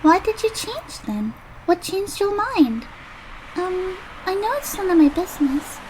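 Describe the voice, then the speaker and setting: A woman's voice: very high voice, very animated, measured pace, darkish tone, a little hiss or hum, exaggerated voice, rough recording. An actress playing a sweet, polite, curious young fairy girl in an audio drama. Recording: music behind the voice.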